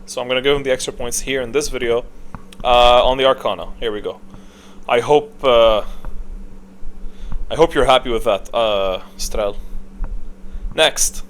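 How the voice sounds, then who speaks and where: A man's voice: medium pitch, a little slow, long pauses, a bit bright. A man giving casual, off-the-cuff gaming commentary as a video creator, blunt and opinionated in slangy wording. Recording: noticeable background noise.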